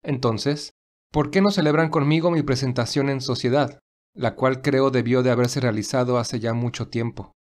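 A man's voice: mid-range voice, fairly even intonation, moderate pace, close to an everyday voice. A man playing a firm, gallant young patriarch. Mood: composed, gallant. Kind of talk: audio story dialogue. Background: classical music.

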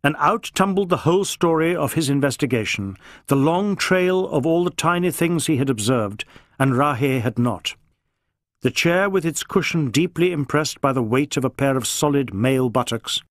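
A man's voice: on the high side, expressive, moderate pace, no echo, studio quality. A man reading a novel aloud as scripted, dramatic audiobook narration.

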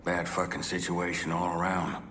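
An actor playing a gruff, bitter working-class dock union man in a video game, blunt and profane. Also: sits low, little pitch movement, moderate pace, a bit bright, slight background noise. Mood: wary, blunt.

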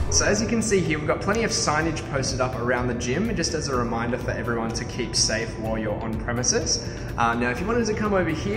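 A young man on staff at a gym walks a viewer through the place, talking in a friendly way with plenty of "um"s. He stands a few metres from the camera, so his voice comes across distant and bounces around the big echoey hall, with music playing clearly underneath. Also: medium pitch. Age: 20s. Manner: friendly, filler-heavy, walking and pointing while talking. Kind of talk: walkthrough tour video. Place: large indoor gym. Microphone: camera mic from a few metres off. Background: background music.

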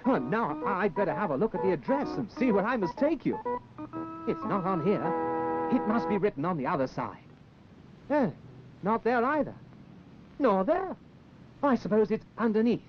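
A man's voice: sing-song, normal speed, long pauses. An actor playing a flustered, eager-to-help young handyman in a 1960s children's puppet show, hesitant and prone to stammering. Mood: fussy, helpful. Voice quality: high-pitched. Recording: dull 1960s television film soundtrack, music.

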